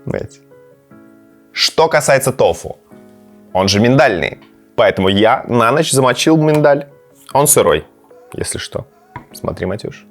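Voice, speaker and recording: A man's voice: mid-range voice, lively intonation, a little slow, long pauses, soft background music. A man hosting a gaming and cooking vlog, chatting casually and playfully, sometimes to his cat. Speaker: host of a gaming and cooking channel. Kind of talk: casual chat to camera with gameplay reactions.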